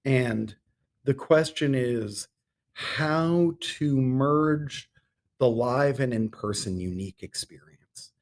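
A man's voice: mid-range voice, slow pace. A middle-aged man speaking as a theatre director being interviewed on a podcast, articulate and enthusiastic, with ornate turns of phrase.

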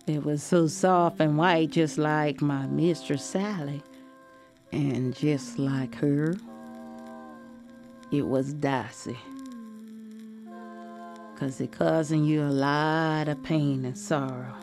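A woman's voice: low voice, steady tone, slow pace, long pauses, darkish tone, faint music behind. A woman voicing an elderly, formerly enslaved Southern grandmother, sorrowfully remembering in weary dialect storytelling. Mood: wistful, weary.

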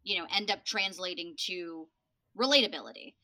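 A woman host with a lower-pitched but bright, forceful voice. She asks questions and keeps the conversation moving with quick affirmations. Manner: engaged, frequent short affirmations. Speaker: podcast host. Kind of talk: casual interview talk.

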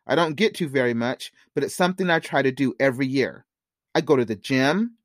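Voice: mid-range voice, a little fast, dry recording, natural voice. Narrator playing an easygoing young man, close dry sound. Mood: teasing, confident. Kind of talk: audiobook character dialogue.

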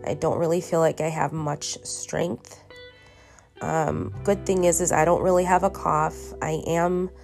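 Tired, congested woman with a low voice. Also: little pitch movement, gravelly, darkish tone, soft background music. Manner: slow, halting, with many restarts and ums. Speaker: podcast host. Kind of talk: casual solo podcast monologue. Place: her bedroom at home.

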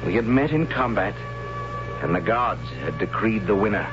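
An actor narrating as a young warrior sent into a forbidden forest, earnest and hesitant, in an old radio drama. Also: male voice, on the low side, lively intonation, normal speed, lightly acted. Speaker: narrator and lead character. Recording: lo-fi old radio broadcast with music.

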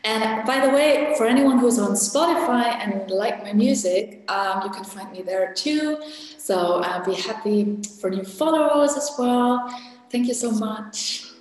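A woman's voice: on the high side, measured pace. A woman from Germany, a singer-songwriter, speaking casually and gratefully to an online audience. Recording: cavernous echoing reverb, music.

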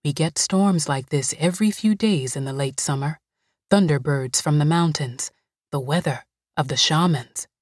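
A woman voicing a calm older woman who comforts a frightened girl in a low, soothing voice. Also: normal speed, no room sound, studio quality.

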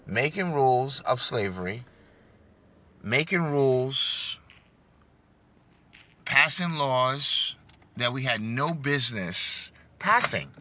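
A man's voice: mid-range voice, expressive, takes it slowly, long pauses, bright, forceful tone, highs cut off. A man giving an emphatic political talk to his online audience, repeating his points and calling listeners "guys". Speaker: political speaker on a broadcast.

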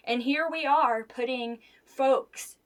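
A woman's voice: high-pitched, takes it slowly. A woman with an American accent speaking as an interview guest, explaining things earnestly and emphatically in a conversational storytelling way.

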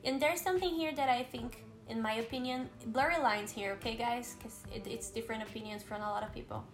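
A woman's voice: on the high side, brisk, faint music behind. A Brazilian woman, a native Portuguese speaker, chatting casually in English with a Brazilian accent, earnest and reassuring, with frequent fillers and restarts. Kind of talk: casual conversation.

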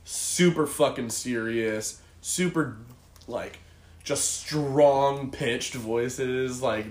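A man's voice: mid-range voice, extremely slow, a little mellow. A young man from the Pacific Northwest chatting casually and crudely with friends, laughing often. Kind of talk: casual chat among friends. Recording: echoey room with faint hiss.

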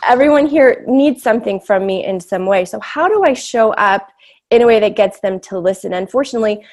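A woman's voice: medium pitch, brisk, a little mellow. Reflective woman with a big voice. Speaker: interview guest. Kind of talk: candid conversational interview answers.

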